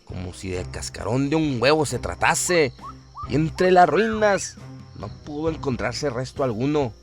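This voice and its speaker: Animated male horror storyteller over music. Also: medium pitch, a little slow. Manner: solemn, suspenseful storytelling. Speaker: narrator of a horror radio story. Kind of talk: dramatic scripted horror narration. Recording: music bed behind the voice.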